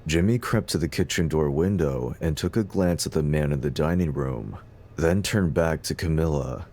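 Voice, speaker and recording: A man's voice: very deep voice, moderate pace, a little mellow. A man narrating a scripted story as the narrator of an audio drama.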